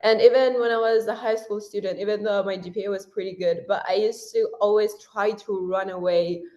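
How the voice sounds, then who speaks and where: Young woman, first language Persian, student guest, casual interview chat, frank and talkative, middle pitch. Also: fairly even intonation, darkish tone, low-quality recording. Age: young adult. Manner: chatty and frank, with frequent "like" and quick self-corrections.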